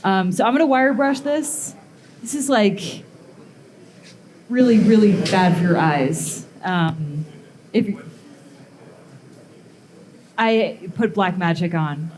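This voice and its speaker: A woman giving a casual live metalworking demonstration, explaining steps informally and haltingly as she thinks aloud. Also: mid-range voice, a little mellow, low-quality recording. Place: live blacksmithing demonstration before onlookers.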